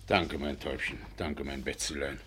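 A man's voice: rumbling low, steady tone, normal speed, bright, forceful tone, a little hiss or hum. An actor in a German radio play, playing a pompous, doting middle-aged Russian landowner and father who is effusive and easily indignant.

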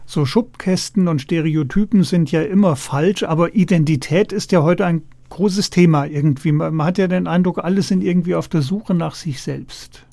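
A man's voice: on the high side, normal speed, creaky, few pauses, dark tone, dry recording. A man hosting a cultural radio interview, putting questions in a conversational way.

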